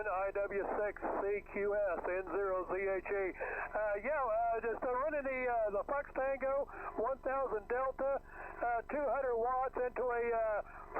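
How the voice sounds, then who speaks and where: A man working as an amateur radio operator, giving call signs and signal reports over a single-sideband radio link. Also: extremely high pitch. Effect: single-sideband radio transmission. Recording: thin phone-like shortwave signal, faint static.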